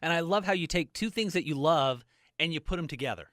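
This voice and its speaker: A man's voice: on the high side, animated, fast talker, a bit bright, no room sound, clean studio sound. A middle-aged man hosting a radio interview, chatty and jokey, poking fun at himself. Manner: chatty, jokey, self-deprecating. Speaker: radio host.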